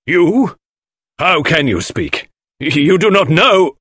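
A man voicing a mournful, indignant widowed husband under suspicion, halting and melodramatic, in an audiobook. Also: mid-range voice, sing-song, slightly rough, dry recording, clean studio sound, pitched above the performer's own voice, exaggerated voice. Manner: halting, melodramatic, murmuring or protesting. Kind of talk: audiobook character voice.

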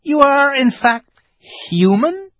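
A man's voice: very high-pitched, very animated, slow, a bit bright, no room sound, voice pushed up, heavily acted. A man voicing a polite, fussy, eager fawn creature in a storybook, with formal, flustered courtesy.

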